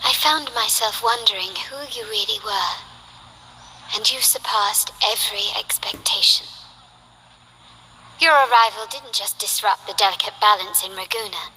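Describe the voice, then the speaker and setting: A woman playing a poised, ambitious young noblewoman in a video game, speaking in an elegant, formal, measured way. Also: mid-range voice, pushed and bright, a little hiss or hum, poor audio. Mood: reflective. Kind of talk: scripted video game character voice lines.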